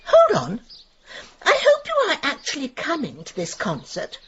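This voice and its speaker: High, fluttery, prim village busybody. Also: female voice, huge pitch swings, unhurried, dull audio, a little hiss or hum, heavily acted. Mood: self-important. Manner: prissy, fluttery, hesitant. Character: fussy, prim, self-important gossiping village woman. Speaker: supporting actress in a radio drama. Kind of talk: radio comedy drama acting.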